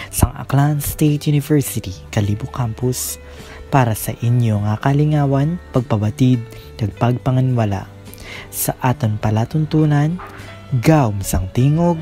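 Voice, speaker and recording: A man's voice: mid-range voice, mellow. A man with a Filipino accent reading scripted narration as the host of a radio drama. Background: faint music.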